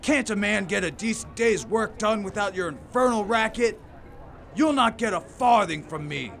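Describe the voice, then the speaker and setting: A man's voice: sits high, expressive, normal speed, vocal fry, a bit bright, full character voice. An actor in a radio play voicing a grumpy, miserly old businessman, scornful and blustering. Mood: furious shouting. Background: music.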